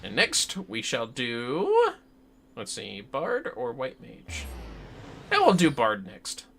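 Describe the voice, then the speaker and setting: A man's voice: mid-range voice, wildly swooping pitch, takes it slowly, vocal fry, fairly bright tone, slight background noise. A man chatting casually and a bit ramblingly as he livestreams a game, swearing freely. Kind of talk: casual chat while gaming.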